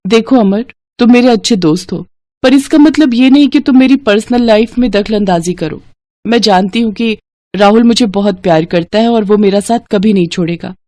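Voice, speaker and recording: A woman plays a headstrong schoolgirl, head over heels in love, in an audio story. Her delivery is emphatic and earnest, at a middling pitch. The sound is dry, with little room in it. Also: mellow, dry recording, lightly acted. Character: headstrong, lovestruck schoolgirl, pleading and indignant. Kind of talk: dramatized Hindi moral story acting.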